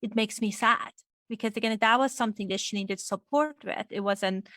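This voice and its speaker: A woman's voice: medium pitch, normal speed, pushed and bright, no echo, clean studio sound. A woman from Iran speaking as a psychologist guest, explaining things reflectively in an interview. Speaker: guest, clinical psychologist and sex therapist.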